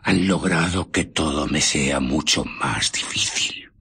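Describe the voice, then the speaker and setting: Husky, brooding, embittered mad scientist. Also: male voice, extremely low pitch, sharp tone, heavily acted. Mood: seething anger. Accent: Castilian Spanish. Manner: theatrical, brooding monologue with pauses and breathy murmurs. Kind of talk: Spanish radio drama acting.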